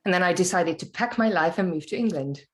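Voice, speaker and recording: Low-voiced woman, thoughtful. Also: animated, fast. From Hungary. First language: Hungarian. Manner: thoughtful, searching for words. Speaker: podcast guest, actor. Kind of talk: casual interview chat.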